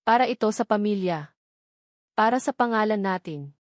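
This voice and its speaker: A woman's voice: medium pitch, animated, normal speed, dry recording, studio quality, lightly acted. A woman with a Filipino accent voicing a desperate, pleading middle-aged father in a narrated story. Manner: pleading, heavy.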